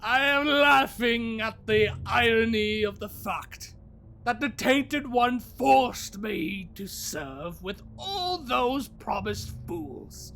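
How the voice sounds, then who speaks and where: An actor voicing a bitter, mocking, world-weary immortal spirit in a theatrical audio drama monologue, with a breathy, creaky delivery that drifts into whispers. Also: medium pitch, sharp tone, heavily acted. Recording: music under the voice. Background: music.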